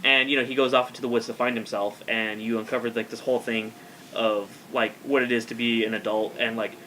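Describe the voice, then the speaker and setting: A man's voice: medium pitch, flat delivery, a little fast, sharp tone, poor audio. A man chatting casually as a guest on a podcast panel. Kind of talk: casual chat. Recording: faint room noise.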